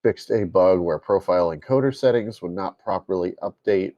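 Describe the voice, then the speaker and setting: A man with a bassy voice co-hosting a casual tech podcast, amused and quick to laugh. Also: dark tone, no echo, lo-fi sound. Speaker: podcast co-host.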